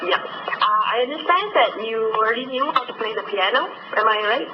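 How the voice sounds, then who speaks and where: A woman's voice: on the high side, animated, normal speed, over music. A woman interviewer asking questions in a telephone interview. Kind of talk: question-and-answer phone interview. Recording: muffled telephone audio, clear background noise.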